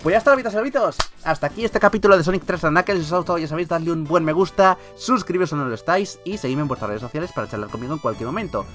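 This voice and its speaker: A man's voice: a little high, animated, racing, few pauses, a bit bright. A man with a Peninsular Spanish accent doing casual, joking, mildly sweary Let's Play commentary as a gaming video host. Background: video game music.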